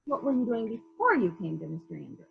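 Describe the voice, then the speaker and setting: A woman playing a brisk, authoritative police detective who puts pointed questions in a murder mystery reading, on slightly dull lo-fi audio. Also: mid-range voice, big pitch swings, creaky. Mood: probing, brisk.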